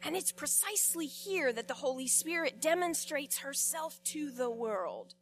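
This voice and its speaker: A woman pastor delivering a sermon, telling the story expressively with repetitions and dramatic pauses. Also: high-pitched, very animated, slight background noise.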